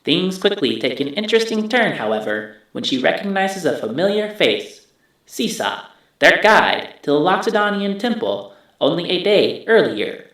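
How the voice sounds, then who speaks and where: Male machine recap narrator, methodical, high pitch, swooping intonation, very heavy echo. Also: big pitch swings, normal speed, fairly bright tone, heavily acted. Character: neural-link machine narrator recounting stored memories, methodical. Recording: heavily echoing voice.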